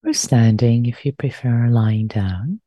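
A woman teacher leading a guided meditation, speaking gently and softly, lingering on and repeating phrases. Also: extremely low pitch, dry recording, dull audio. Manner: gentle, soft-spoken, unhurried with repeated phrases.

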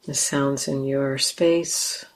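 A woman leading a guided meditation as a teacher, speaking gently and leaving long pauses between short phrases. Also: extremely low pitch, steady tone.

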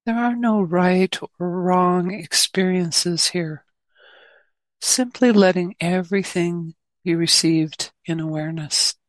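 A woman meditation teacher speaks very slowly in a slightly low voice. Her phrasing is gentle and spacious, with long pauses between short instructions. The sound is dry and close. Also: dry recording. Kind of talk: guided meditation.

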